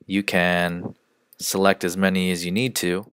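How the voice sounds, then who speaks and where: Deep voiced guy walking through software step by step, measured, really dry close sound. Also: little pitch movement, clear, resonant tone, dry recording. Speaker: software trainer. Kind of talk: instructional screencast narration.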